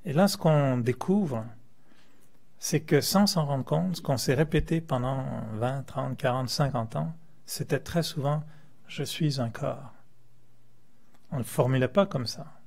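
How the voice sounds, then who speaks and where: French-born guy teaching meditation, gravelly voice, jokey, chuckles a lot. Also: medium pitch, a little slow, darkish tone. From France. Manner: playful, joking, chuckles often. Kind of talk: spiritual talk to a class.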